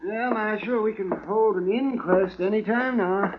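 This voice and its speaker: An American man acting a wry, morbid, money-minded frontier doctor with a husky voice in a 1950s radio western. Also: high voice, measured pace, muffled recording, very noisy. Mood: drily cheerful. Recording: thin old radio recording.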